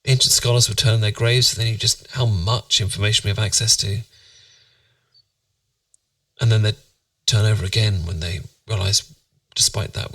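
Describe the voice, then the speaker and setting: A British man playing a tender, playful boyfriend in a bedtime ASMR roleplay, musing softly and intimately to soothe his partner to sleep. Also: on the low side, no room sound, recorded from a distance, low-quality recording. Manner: soft, intimate, soothing, musing, occasionally near whisper.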